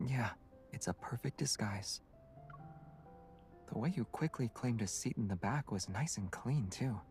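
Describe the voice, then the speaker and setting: An actor playing a calm, gentle young companion in a romance game, speaking softly and with measured composure. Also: male voice, medium pitch, soft background music, lightly acted. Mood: amused, relaxed.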